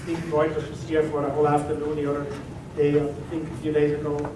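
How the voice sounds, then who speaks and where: A man's voice: on the high side, fast talker. Swiss-accented man speaking in an echoey room. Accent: Swiss German. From Switzerland. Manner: relaxed and conversational, with frequent "uh" and "um". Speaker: presenter at a tech meetup. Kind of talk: technical talk to an audience. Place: meeting room at a company office. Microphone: room recording from a distance. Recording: echoey room from far off, with background noise.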